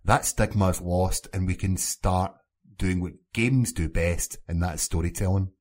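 A man with a fairly low voice hosts a casual podcast. He offers his own opinions in a conversational way and turns to the others with questions. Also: unhurried, a little mellow. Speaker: podcast host.

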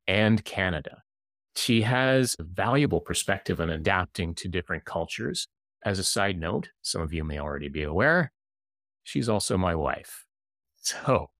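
A man hosting a podcast about living in new cultures, speaking in an earnest, measured way. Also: on the low side, fairly bright tone, dry recording, studio quality. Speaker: podcast host and intercultural coach. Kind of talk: podcast interview.

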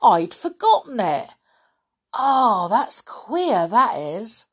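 A cantankerous old man from a children's storybook, as a woman reader puts him on. He is suspicious and scolding, with rustic turns of phrase. His pitch is pushed up and swings about as he grumbles. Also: sing-song, very slow, husky, full character voice. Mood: grudging, slow. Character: grumpy, deaf old man, a suspicious caretaker.

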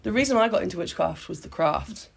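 A woman in her late thirties from Britain, hoarse with a cold, chatting casually as a podcast co-host and often restarting her sentences. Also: lowish voice, expressive, quick pace. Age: late 30s. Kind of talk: casual chat. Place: sitting on the floor in a home play fort.

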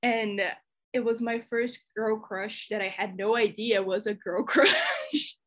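A woman from Brazil with a Brazilian Portuguese accent, speaking English as a guest on a podcast and telling stories with quick laughs. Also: fairly high pitch. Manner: animated storyteller, quick laughs. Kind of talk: casual chat.

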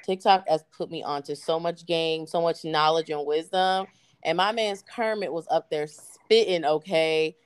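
A young woman hosting a podcast in casual interview chat, lively, slangy and effusive. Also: sits low, a little slow, smooth, no room sound. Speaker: podcast host.

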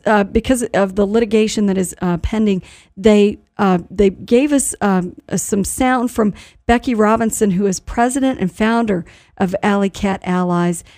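A woman presents local news on the radio in a conversational manner, feeling her way through phrases. Her tone is mellow and relaxed, and the sound is dry with very little room in it. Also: mid-range voice, dry recording. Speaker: news host.

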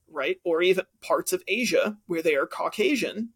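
A man's voice: sits high, lively intonation, normal speed, gravelly, fairly bright tone, slightly rough. A man co-hosting a podcast, a teacher who argues his points in casual debate with examples. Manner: argumentative, explains with examples. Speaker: podcast co-host.

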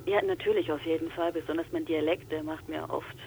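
A young woman from Franconia with a Franconian accent softened by Swabian traces, a guest voice actor chatting casually and eagerly, often laughing. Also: sits low, level tone, quick pace, a little hiss or hum, rough recording. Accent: Franconian German with Swabian traces. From Franconia, Germany. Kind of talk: casual chat.

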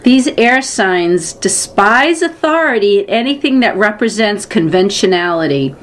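A woman's voice: medium pitch, expressive, a little slow. A woman from Boston with a Boston accent, co-hosting a podcast in casual chat and eagerly agreeing with her partner. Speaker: podcast co-host.

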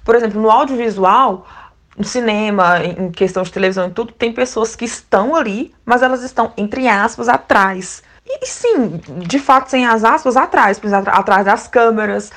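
A woman's voice: on the high side, a little fast, fairly bright tone. A woman explaining a point in informal discussion, repeating words for emphasis.

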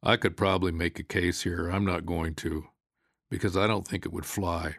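A big, suspicious country sheriff in a literary story, voiced by a male reader. He speaks low and rough with fry, folksy but blunt and stern. The sound is close and dry. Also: fairly even intonation, moderate pace, a bit bright, no room sound, exaggerated voice. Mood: stern, accusing.

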